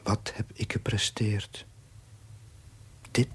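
A low-voiced, brooding, breathy priest. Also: male voice, little pitch movement, fairly bright tone, in a whisper, exaggerated voice. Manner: brooding, halting, confessional. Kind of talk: 1970s television drama monologue. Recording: noisy old TV soundtrack.

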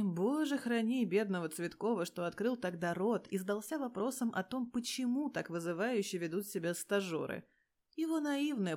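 A woman narrates fan fiction in a mid-pitched voice. Her reading is expressive and scripted, with a sardonic, streetwise edge and plenty of crude slang. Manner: sardonic, crude slangy storytelling with frequent swearing.

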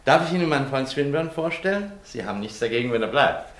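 A man plays a talkative private detective in a radio drama. His mid-pitched voice is emphatic and theatrical, easily exasperated, recorded in a roomy space. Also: lively intonation, fast, close to an everyday voice. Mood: businesslike, patient. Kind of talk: German radio play acting.

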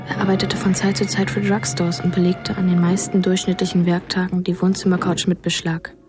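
A woman's voice: lowish voice, close to an everyday voice. A woman narrating a son's sober childhood memories in a radio drama, restrained and matter-of-fact. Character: James Ellroy's childhood self, soberly recalling his parents.

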